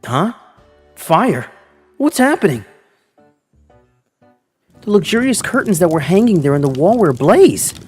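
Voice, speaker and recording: A man's voice: sits high, very animated, measured pace, pauses often, darkish tone, husky, soft background music, heavily acted. A man voicing an earnest twenty-five-year-old male teacher who narrates his own story and acts out his lines in a manga dub. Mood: alarmed, pleading. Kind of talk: first-person manga dub narration with acted dialogue.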